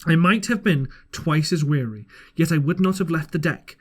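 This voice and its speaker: A man's voice: on the high side, normal speed. A man narrating an old adventure story as an amateur audiobook reader, sometimes stumbling and rereading a phrase.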